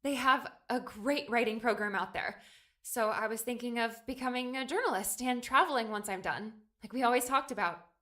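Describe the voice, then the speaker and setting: A woman's voice: a little high, moderate pace, pushed and bright. A woman playing an earnest, hesitant young woman in an audiobook drama. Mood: earnest, anxious. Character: earnest, homesick young woman, eighteen in memories. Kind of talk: audiobook character acting.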